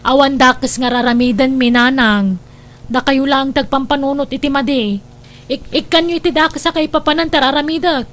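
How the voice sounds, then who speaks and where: A woman's voice: high-pitched, steady tone, normal speed, a bit bright. A woman acting in a radio drama as a defensive married daughter still drawn to an old flame. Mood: indignant.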